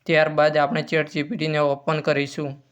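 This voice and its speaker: Smooth, even-toned male tutorial host. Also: fairly high pitch, normal speed, highs cut off. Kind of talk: informal step-by-step app tutorial.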